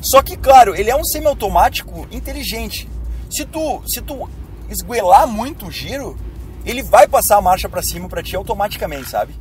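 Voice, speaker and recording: Chatty hoarse southern Brazilian man driving. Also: high voice, very animated, moderate pace, soft background music. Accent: southern Brazilian, Rio Grande do Sul. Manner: chatty, addresses viewers directly. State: hoarse with a cold. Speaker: YouTuber. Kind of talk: casual driving vlog chat. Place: inside a car in city traffic. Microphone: camera mounted on dashboard.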